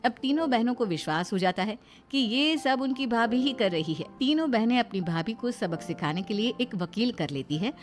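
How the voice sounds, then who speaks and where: A woman with a fairly high voice narrates a scripted cartoon family story. Music plays behind her storytelling. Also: animated, moderate pace.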